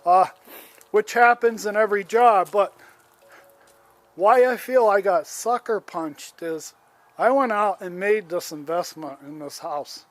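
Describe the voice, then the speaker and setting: An older man in his seventies talks outdoors into a handheld phone, airing an aggrieved complaint about his yard. His voice sits high for a man and he takes his time. He rambles and restarts in a plain, homespun way. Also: unhurried, no echo. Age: 70s. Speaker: homeowner. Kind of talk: casual complaint vlog, filming as he walks.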